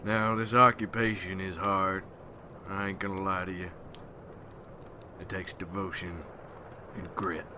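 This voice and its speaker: A man playing a gruff, hardened vampire hunter in an ASMR roleplay, speaking in folksy rustic slang as he mentors a newcomer. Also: sits low, little pitch movement, a little slow, long pauses, bright, forceful tone, a little hiss or hum, full character voice. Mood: grave.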